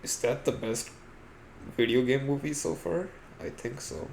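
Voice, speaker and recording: A man with a mid-pitched, creaky voice talks casually as a podcast co-host. His delivery is conversational, weighing up films and games. There is some noise in the recording. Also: unhurried, darkish tone.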